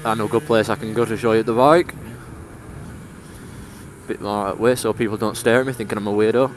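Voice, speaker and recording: A man's voice: fairly low pitch, fairly even intonation, fast. A young man in his late teens from England with a broad Yorkshire accent, chatting casually as he vlogs from his scooter outdoors. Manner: chatty, laid-back, laughs now and then. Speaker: motovlogger. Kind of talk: casual vlog chat while riding. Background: faint engine and traffic noise.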